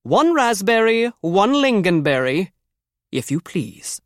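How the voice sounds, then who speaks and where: A woman's voice: medium pitch, big pitch swings, slow pace, dry recording, clean studio sound. A woman voicing a haughty male palace butler who speaks in a pompous, clipped and commanding way.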